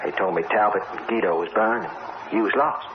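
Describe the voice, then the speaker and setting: An actor playing a steady, folksy, matter-of-fact fighter who is second in command in a 1950s radio drama. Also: male voice, lowish voice, moderate pace, natural voice. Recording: muffled, noisy, echoey 1950s radio recording.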